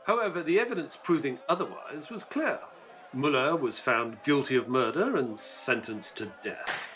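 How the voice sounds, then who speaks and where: Guy doing an earnest victorian doctor narrating a case, bright punchy voice, lots of up and down, audio drama. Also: medium pitch, animated, moderate pace, a little hiss or hum. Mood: earnest storytelling.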